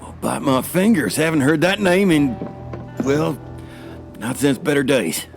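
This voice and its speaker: A creaky, gravelly backwater doc, drawling slowly with lively swings in pitch. He is folksy and gruffly bossy, a rustic old pa in a sci-fi audio drama. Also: soft background music. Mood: folksy, wistful. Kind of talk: scripted audio drama acting.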